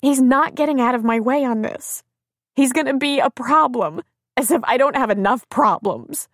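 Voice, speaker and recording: A woman narrator performs a distraught young woman in an audiobook novel. Her voice is pitched well up, tearful and full of guilt, with a dry, close sound. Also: moderate pace, a bit bright, dry recording. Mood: anguished. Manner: tearful, emotional delivery. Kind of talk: audiobook character dialogue.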